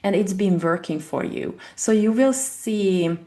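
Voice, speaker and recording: A woman's voice: mid-range voice, unhurried, mellow. A woman in her forties from Hungary with a Hungarian accent, earnestly explaining her coaching work in an online interview, with small grammar slips of a non-native speaker. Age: 40s. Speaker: guest, family constellation coach. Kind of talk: interview answers. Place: home in Texas, online call.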